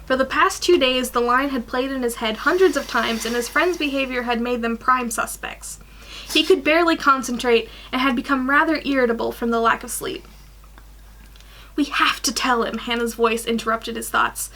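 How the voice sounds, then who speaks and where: A young woman in her twenties reading fan fiction aloud in an animated way, stumbling over the odd sentence. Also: sits high, moderate pace, a little hiss or hum. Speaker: guest story reader. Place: small attic bedroom.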